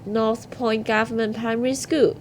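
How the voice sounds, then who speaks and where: High-voiced woman announcing awards. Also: little pitch movement, moderate pace, very noisy. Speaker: awards ceremony host.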